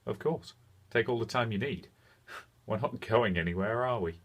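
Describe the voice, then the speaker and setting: A man's voice: fairly low pitch, moderate pace, husky. A man acting a courteous, wry demon fighter in an audio story, speaking in polite understatement. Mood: reassuring.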